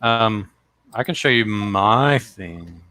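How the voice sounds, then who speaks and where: Bright-voiced man joking in casual chat. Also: lowish voice, lively intonation, slow pace, no room sound. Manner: joking, crude, laid-back. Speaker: comic artist co-host.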